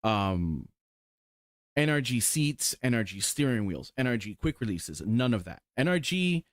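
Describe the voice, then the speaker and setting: A man in his forties chatting casually and excitedly about his sim racing cars. He rambles, swears casually and fills pauses with lots of ums. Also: mid-range voice, no echo, clean studio sound. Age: 40s. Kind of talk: casual chat while showing cars.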